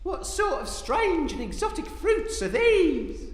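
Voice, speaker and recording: Man as excitable innocent, hugely swooping intonation, earnest, florid, kind-hearted, melodramatic, comic audio drama, very echoey. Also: very high-pitched, slow, heavily acted. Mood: delighted wonder. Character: excitable, dim but kind-hearted hero, earnest and flowery.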